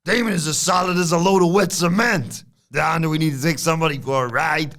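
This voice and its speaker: A man voicing a blustering male crime-family heavy, loud and blunt. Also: fairly high pitch, fairly quick, vocal fry, voice pushed up. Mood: menacing.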